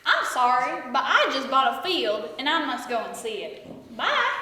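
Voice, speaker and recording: A woman's voice: high-pitched, very animated, normal speed, noisy background. A woman playing a theatrical, over-emotional young woman in a comic skit, exaggerated and singsong. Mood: apologetic. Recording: echoey hall, distant mic.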